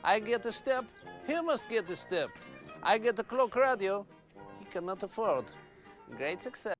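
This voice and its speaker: A boastful, crude comic villager. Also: male voice, high voice, a little slow. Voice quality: broken English, thick put-on foreign accent. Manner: proud, blunt, deadpan boasting. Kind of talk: comic film monologue. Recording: film clip with music underneath.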